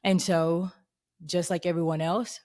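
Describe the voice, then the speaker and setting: Brief: woman, 30s, faith podcast host, earnest reflective delivery, low-ish voice. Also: little pitch movement, measured pace, darkish tone.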